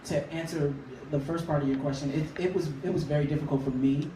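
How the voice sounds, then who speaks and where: A man with a high voice, a guest singer talking casually in a radio interview. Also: fast. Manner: relaxed, chatty, laughs easily. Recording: roomy, distant mic, faint noise.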